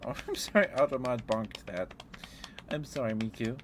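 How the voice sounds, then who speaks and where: A man chatting casually on an ASMR livestream as the host, in a hesitant, halting, chuckly and apologetic way. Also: medium pitch, noisy background. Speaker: live streamer hosting an ASMR stream.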